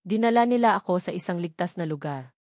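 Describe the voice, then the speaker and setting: A woman voicing a loving older father writing a reassuring letter to his daughter, read in an even, measured way. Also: lowish voice, no room sound, studio quality.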